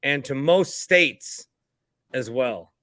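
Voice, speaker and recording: A man's voice: medium pitch, animated, drawn-out words, bright, forceful tone, no echo, studio quality. A middle-aged man hosting a sports podcast in a casual, chatty way.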